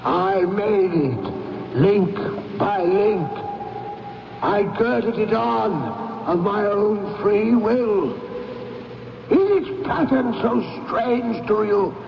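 An actor playing the tormented, mournful ghost of a dead businessman in a radio drama, speaking in a solemn, drawn-out wail. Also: high-pitched, gravelly, heavily acted. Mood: grim reproach. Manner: slow, drawn-out, wailing, solemn. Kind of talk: 1970s radio drama acting. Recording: echoing, noisy, muffled radio recording.

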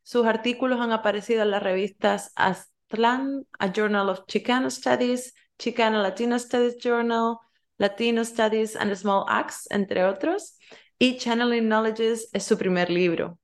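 A woman's voice: on the high side, steady tone, measured pace. A woman hosting an interview, introducing her guest and asking questions, often hesitating and adding tag questions. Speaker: podcast host.

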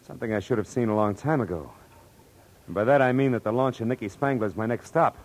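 An actor playing a tough, wry private detective in an old radio drama, with a terse, wisecracking delivery. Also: male voice, mid-range voice, fast talker, close to an everyday voice. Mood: brisk, demanding. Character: hard-boiled, wry male private detective. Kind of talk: 1940s radio drama acting and narration. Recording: noisy old radio recording.

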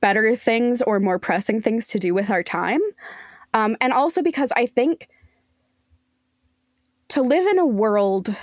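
A woman's voice: on the high side, lively intonation, measured pace, long pauses, no echo, studio quality. A woman speaking as a podcast guest in a conversational interview, earnest, emphatic and articulate.

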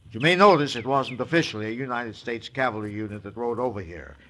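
An actor playing a stern, blustering cavalry major in an old-time radio western, with an emphatic, formal military manner. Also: male voice, medium pitch, animated, fast talker, sharp tone. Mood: humbled, formal. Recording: noisy vintage radio drama recording.

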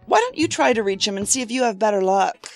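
A woman playing a brisk, skeptical federal investigator in an audio drama, terse, dry and questioning. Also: medium pitch, sing-song, faint music behind. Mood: impatient.

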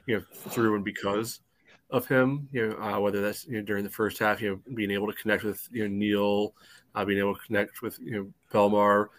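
A man's voice: fairly low pitch, level tone, fairly quick, a bit bright, no echo. A man giving casual soccer analysis on a podcast, padding his points with frequent conversational asides. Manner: leans heavily on "you know" asides. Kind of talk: casual match analysis discussion.

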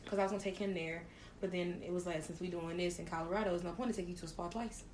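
A woman hosting a casual podcast, talking loudly with crude jokes and laughter. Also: lowish voice, fairly even intonation, very fast, a little hiss or hum. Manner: loud, laughing, crude joking. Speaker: podcast host. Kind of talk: casual chat.

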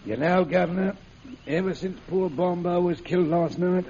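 An actor playing a rough, emotional Cockney sidekick in an old radio adventure serial, with a gravelly voice. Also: male voice, sits high, normal speed, dark tone, close to an everyday voice. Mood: grim, uneasy. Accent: Cockney English. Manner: emotional, colloquial, exclaiming. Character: rough, excitable Cockney sidekick, anxious father. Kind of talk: 1930s radio serial acting. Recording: muffled, noisy old radio serial recording.

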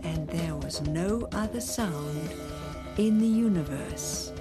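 A woman playing the gentle narrator of a children's space adventure radio story. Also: lowish voice, slow pace, music in the background. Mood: gentle storytelling.

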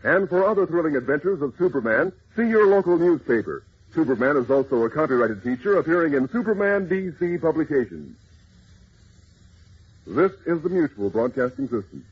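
A radio announcer, a man with a very rough, husky voice that swoops with enthusiasm. He pitches to children in emphatic 1940s style. The old recording sounds muffled. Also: big pitch swings, brisk. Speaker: sponsor announcer.

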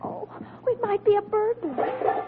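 A woman's voice: extremely high pitch, a little slow. A young woman playing an earnest, sensible teenage daughter in old radio comedy. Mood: concerned. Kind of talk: 1940s-50s radio comedy acting. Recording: tinny, noisy vintage radio recording.

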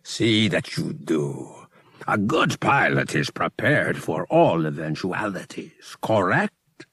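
A man voicing an imperious alien high priest in an audiobook, with formal, deliberate, drawn-out phrasing. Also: sing-song, a bit bright, breathy edge, no room sound, studio quality, heavily acted. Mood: stern. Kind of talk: audiobook character voice.

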